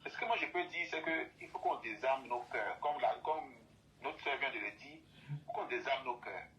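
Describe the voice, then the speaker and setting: A man's voice: mid-range voice, normal speed, pushed and bright, rough recording. A man from Côte d'Ivoire, speaking as a guest in an impassioned talk and repeating and restating his phrases for emphasis.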